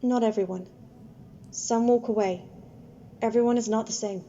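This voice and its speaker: A kind, stubbornly loyal homeless woman played by a woman in an amateur radio drama. Her voice is fairly high and very mellow, with colloquial, halting phrasing. The home recording is dull, with faint noise behind it. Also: steady tone, close to an everyday voice. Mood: quietly reflective. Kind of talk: amateur radio play acting.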